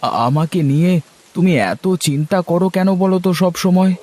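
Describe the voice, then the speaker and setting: A man's voice: sits high, animated, normal speed, darkish tone, a little hiss or hum. A man voicing an earnest, put-upon village farmer and husband in a cartoon story, plain-spoken and complaining. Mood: affectionate, puzzled. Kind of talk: Bengali cartoon story voice acting.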